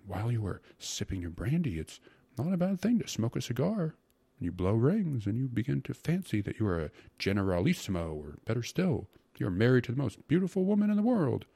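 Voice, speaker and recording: Man acting out a gluttonous male clerk, raised pitch, swooping intonation, breathy, coaxing, rapturous, storybook character. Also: warm tone, no echo, full character voice. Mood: blissful. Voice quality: breathy, often dropping to a whisper. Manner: stammering, coaxing, savoring each word. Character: fussy, gluttonous male court secretary, rapturous about food.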